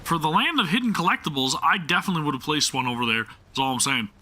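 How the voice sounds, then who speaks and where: A man's voice: a little high, lively intonation, quick pace, pushed and bright, a little hiss or hum. A young man chatting through a live game stream, self-deprecating and often restarting his sentences. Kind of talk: live gameplay commentary.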